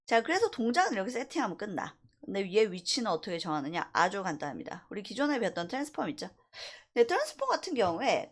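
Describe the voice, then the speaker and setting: A woman instructor giving a casual software tutorial, talking through each step conversationally and checking in with short rhetorical questions. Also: medium pitch. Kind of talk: casual screen-recorded software tutorial, thinking aloud while demonstrating.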